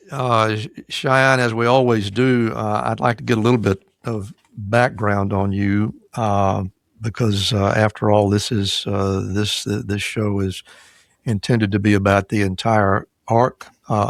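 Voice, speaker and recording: A man hosting a podcast interview, asking questions in a halting, hesitant way. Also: lowish voice, level tone, creaky, no echo, studio quality. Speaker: podcast host. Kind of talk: conversational interviewing.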